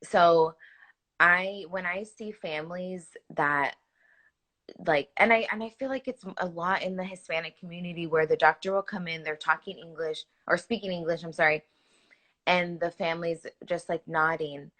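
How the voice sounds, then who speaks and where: A young woman nurse talks earnestly and with feeling, often restarting her sentences and filling gaps with "like." Her voice sits fairly low but sounds bright and forceful. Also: moderate pace. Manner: earnest, animated, frequent "like" fillers and restarts. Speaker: hospital nurse. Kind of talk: conversational interview answers.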